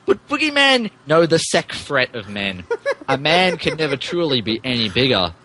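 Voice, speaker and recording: A man's voice: a little high, sing-song, moderate pace, sharp tone, slight background noise, rough recording. A man narrating fan fiction on a podcast in a mock-dramatic, emphatic way, amused and stumbling over the text.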